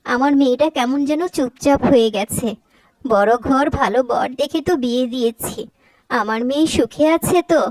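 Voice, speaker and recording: A woman acts out a middle-aged village mother in a cartoon. She sounds fretful and emotional, in a high, dry voice. Her delivery is emphatic and protective. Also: moderate pace, darkish tone, no echo, lightly acted, rough recording. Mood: worried. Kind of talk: Bengali cartoon voice acting.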